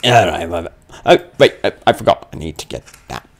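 Animated, gravelly man doing game commentary. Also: low voice, fairly quick. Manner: animated, grumbling, playfully exclaiming. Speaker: gamer playing a horror game on his channel. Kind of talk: casual let's play commentary, thinking aloud.

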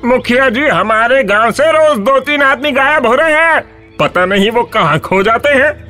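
Very high, sharp worried villager played by a man. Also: lively intonation, normal speed, faint music behind, heavily acted. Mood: worried, pleading. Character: worried, pleading male villager. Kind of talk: animated story acting.

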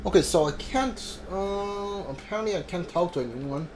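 A man's voice: high voice, moderate pace, background noise. A man doing casual live game commentary, talking to his chat in a hesitant, repetitive, rambling way.

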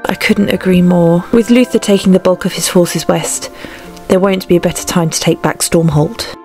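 A woman's voice: fairly low pitch, fairly even intonation, moderate pace, a little mellow, soft background music, natural voice. A woman voicing a determined young warrior princess, earnest and resolute, in a fan dub.

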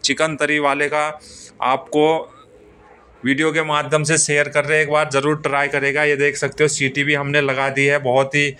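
A man narrating his cooking video with a bright, crisp voice that sits a little high. He talks in a flat, even sing-song and keeps urging viewers to look in an eager, salesy way. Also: normal speed. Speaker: cooking channel host. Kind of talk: cooking vlog voice-over.

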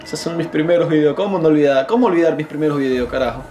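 A man's voice: on the high side, racing, darkish tone, muffled recording. A young man giving casual reaction commentary, jokey and embarrassed about himself. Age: young adult. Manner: self-mocking, embarrassed, jokey. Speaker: video creator reacting to own old videos. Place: small bedroom indoors. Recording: echoey bedroom with faint music. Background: music.

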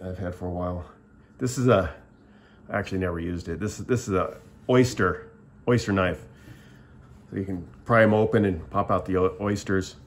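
A middle-aged man giving a casual, rambling show-and-tell review at a table, plainspoken and often restarting his sentences. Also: deep voice. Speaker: product reviewer. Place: indoors at a table, filming the tabletop.

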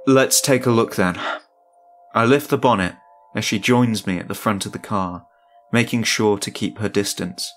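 A man's voice: lowish voice, moderate pace, fairly bright tone. A man reading a scripted first-person horror story as its narrator. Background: music.

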